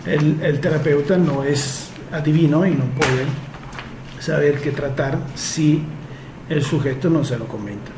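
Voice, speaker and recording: A man's voice: a little high, measured pace, background noise. Man explaining in an echoey room. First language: Italian. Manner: explanatory, uses homely comparisons. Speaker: host answering listener questions. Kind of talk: advice talk reading a letter and answering.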